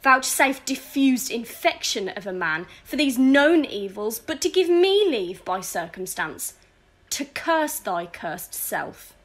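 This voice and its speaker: A woman's voice: on the high side, big pitch swings, slow, a bit bright, exaggerated voice. A woman playing a grieving, furious widow in a theatrical, scornful verse audio drama. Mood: bitter contempt. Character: grieving, furious widow cursing her husband's killer.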